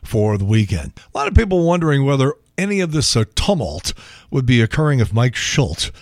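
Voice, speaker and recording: A man with an American accent and a gravelly, thinning voice, hosting a podcast in a wry, colloquial, sometimes profane solo monologue. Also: medium pitch, sing-song, a little fast, no room sound, clean studio sound. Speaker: podcast host. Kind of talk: casual solo monologue on news and sport.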